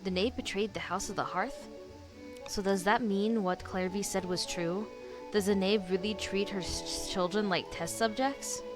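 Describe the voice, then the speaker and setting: A woman's voice: mid-range voice, normal speed, over music. Chatty woman reacting to a game. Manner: chatty, excitable, laughs often. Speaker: streamer.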